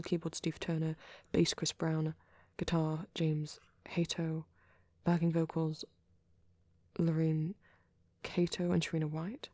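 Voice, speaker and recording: A woman playing a shy, nervous vampire boy in a soft-spoken ASMR roleplay, with a hesitant, rambling, stammering delivery and a husky voice. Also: low-pitched, dry recording, clean studio sound, lightly acted. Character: shy, nervous young male vampire on a date.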